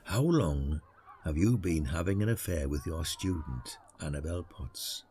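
A man playing a shrewd, gruff retired detective with a British accent in an audio drama, probing in colloquial speech. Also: very deep voice, expressive, a little slow, warm tone, a little hiss or hum. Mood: accusing. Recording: music behind the voice.

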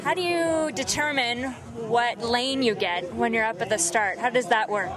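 A woman's voice: on the high side, normal speed, a crowd in the background. A woman reporter presenting from a ski mountain and asking athletes questions. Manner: scripted, clear presenter delivery. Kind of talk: outdoor event interviews. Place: outdoors at a ski resort.

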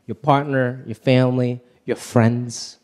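A man in his thirties from Hong Kong performing a stand-up comedy set on a theater stage into a handheld mic, animated and swinging his pitch for punchlines. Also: slow pace. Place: large theater stage with live audience. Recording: live show with faint crowd and room noise.

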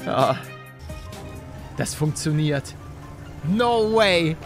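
Young man, high voice, lively intonation, slangy gaming commentary, close mic, light music. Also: very slow. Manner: animated, slangy, youthful. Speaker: gaming YouTuber. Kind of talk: excitable casual gaming reaction commentary. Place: small indoor gaming room. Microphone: close desk microphone.

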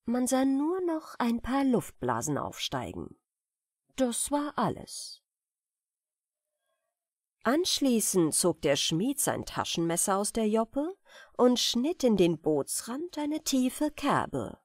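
A woman reading comic folk tales as an audiobook, telling them expressively with deliberate dramatic pauses. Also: on the high side, very animated, dry recording, studio quality. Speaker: audiobook reader.

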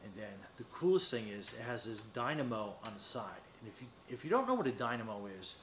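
A man's voice: mid-range voice, very animated, quick pace, fairly bright tone. A man in his fifties giving a casual, unscripted product demonstration, rambling a little and thinking aloud as he goes. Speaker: product reviewer. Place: small indoor metal-walled studio, seated at a desk. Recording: echoey room with faint noise.

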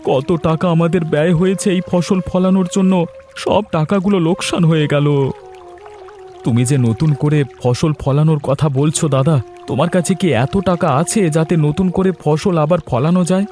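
A man's voice: high-pitched, dark tone, close to an everyday voice. A man voicing a worried, irritable village farmer and husband in a cartoon drama.

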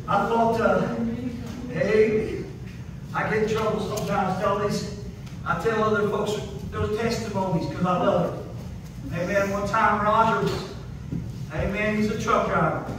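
High-voiced preacher chanting amens. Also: male voice. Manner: punctuates every phrase with amen and hallelujah, rising into a sung chant. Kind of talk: chanted revival sermon. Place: small wood-paneled church, indoors. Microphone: far phone camera in the pews. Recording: echoey room from far off, noisy.

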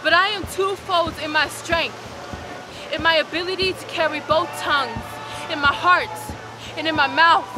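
A woman's voice: extremely high pitch, normal speed, over music. A young woman performing spoken word poetry outdoors on a rocky beach, with a rhythmic, emphatic delivery. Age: young adult. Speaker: poet. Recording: outdoor recording with loud surf noise. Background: crashing ocean waves.

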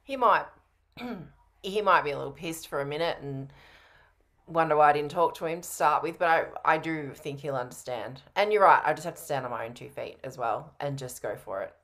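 Deep-voiced woman chatting casually. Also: animated, a little fast, a bit bright. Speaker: coaching participant in sales roleplay. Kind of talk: casual chat. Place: indoor home room, on video call. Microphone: video call.

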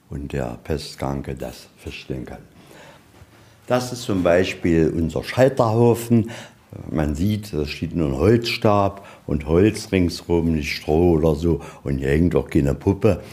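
Low-pitched, mellow-voiced man guiding a tour. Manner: plain-spoken, colloquial, step-by-step explaining. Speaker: museum guide. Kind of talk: explaining exhibits on a guided tour. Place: inside a museum, on location.

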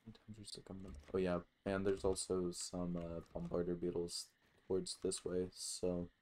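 A man giving casual, halting gameplay commentary as host, with some offhand swearing. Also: low-pitched, completely flat delivery, a little mellow. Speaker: gaming video host.

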